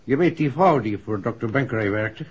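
An actor playing a brisk, matter-of-fact senior police official in a 1960s radio drama. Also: male voice, medium pitch, expressive, breathy edge. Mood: grave, brisk. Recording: muffled old 1960s radio recording.

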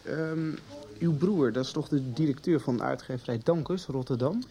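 An actor playing an earnest, polite teenage pupil with a soft, husky voice in a radio drama. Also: male voice, a little high, moderate pace, natural voice. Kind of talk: 1990s Dutch radio drama acting.

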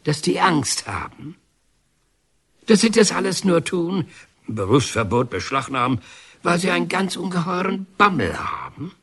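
An actor playing a defiant, bitter, sarcastic painter in a German radio drama. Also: male voice, sits high, big pitch swings, a little slow, fairly bright tone, breathy edge, full character voice. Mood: outraged. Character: defiant, bitter male painter, banned by the regime.

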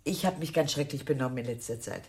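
An actress playing a scatterbrained, effusive older aunt in a German radio drama, halting and repeating herself with dramatic sighs. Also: female voice, extremely low pitch, a little mellow, breathy edge, heavily acted. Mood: remorseful, eager.

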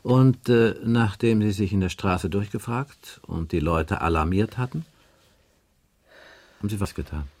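An actor playing a probing, officious police inspector taking a statement in a radio crime drama, pressing insistently with each question. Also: male voice, lowish voice, unhurried, a little mellow. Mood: stern, methodical. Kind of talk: 1980s German radio crime drama acting.

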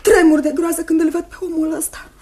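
A woman's voice: extremely high pitch, little pitch movement, a little mellow. An actress in a radio drama playing a frightened but resolute young woman, earnest and theatrical. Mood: anxious.

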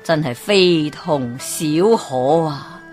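A woman voicing a stern, shrewd old male geomancer in a folk tale, with slow, drawn-out exclamations. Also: deep voice, darkish tone, faint music behind. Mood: awed.

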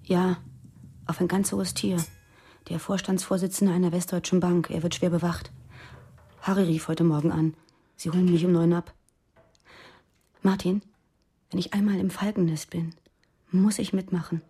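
An actress playing an uneasy young militant woman confiding secrets in a radio drama, hushed, urgent and earnest. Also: fairly low pitch, level tone, normal speed, long pauses, slightly rough. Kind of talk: German radio drama dialogue.

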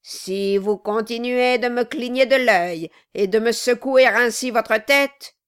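A woman voicing a cruel, violent male dwarf in an audiobook, loud and mocking. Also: a little high, a little slow, clear, resonant tone. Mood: furious. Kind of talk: audiobook reading.

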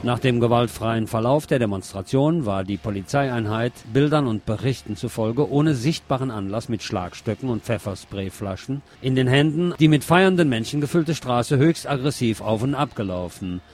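A man hosting a radio show, presenting commentary and reading news aloud in a deliberate way with pauses. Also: mid-range voice, lively intonation, darkish tone, faint music behind.

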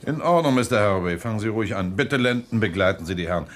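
Male actor: composed, reassuring attorney, lowish voice with lively intonation. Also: fast talker, natural voice. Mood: calm. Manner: calm, reassuring. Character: calm, optimistic male attorney and candidate. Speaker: district attorney. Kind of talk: radio play acting.

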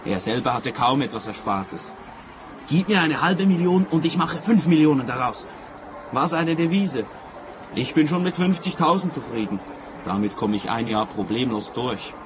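An actor in a radio play playing a laconic, unambitious small-time machine tinkerer, terse and matter-of-fact. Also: male voice, mid-range voice, natural voice. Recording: clear outdoor background noise.

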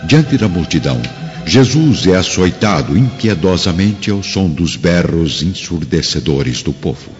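Deep, solemn male narrator. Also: expressive, unhurried. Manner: solemn, emotive storytelling. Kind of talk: dramatic scripted radio drama narration. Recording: muffled radio drama with loud music.

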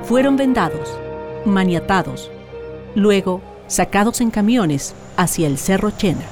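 A woman reads scripted documentary narration for a radio drama in a solemn, deliberate manner. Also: mid-range voice, animated, soft background music.

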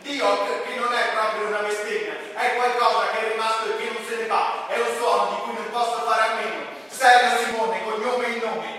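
A man's voice: sits high, pushed and bright. A man performing an experimental theatre monologue as an ironic, irreverent figure, in clipped rhythmic phrases. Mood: wry confessing. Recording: distant stage recording, echoey hall, hiss.

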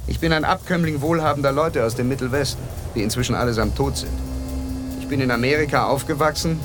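An actor playing an elegant, self-mythologizing wealthy gentleman of the twenties in a radio play, speaking suavely and deliberately. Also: medium pitch, natural voice. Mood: grandiose, confiding. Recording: music behind the voice.

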